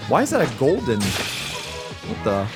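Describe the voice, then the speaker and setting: A man's voice: mid-range voice, wildly swooping pitch, slow, music in the background. A man streaming his casual, exclamatory reactions to game footage, using slang and repeating his phrases. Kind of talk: casual excited live reaction.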